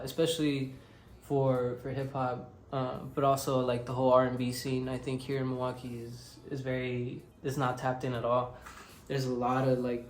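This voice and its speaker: A man's voice: mid-range voice, darkish tone, echoey room, a little hiss or hum, poor audio. A young man from Mexico answering interview questions in a laid-back, rambling way with many fillers. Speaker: interviewed musician.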